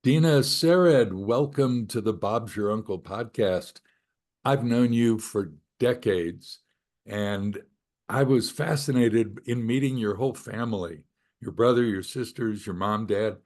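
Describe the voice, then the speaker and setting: A man's voice: lowish voice, unhurried, darkish tone. Older man hosting a chatty podcast interview. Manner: chatty, meandering questions with fillers. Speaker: podcast host. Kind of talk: casual interview.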